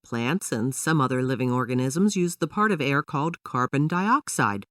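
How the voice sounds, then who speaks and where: A woman's voice: a bass voice, lively intonation, normal speed, a bit bright, dry recording, studio quality, distant mic. A woman voicing a patient father who explains things to his young daughter in a children's storybook, in an instructive manner. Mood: explaining.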